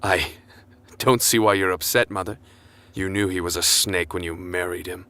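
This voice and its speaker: A man's voice: deep voice, steady tone, normal speed, sharp tone. A young man acting a defiant, bitter, vengeful son in a student radio drama, with terse, accusing delivery. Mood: bitter defiance. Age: young adult.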